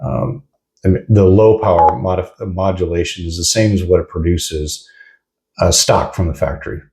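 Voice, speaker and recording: Low-pitched, mellow man reviewing gear. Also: steady tone, normal speed, rough recording. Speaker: product reviewer. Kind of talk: casual hands-on gear review.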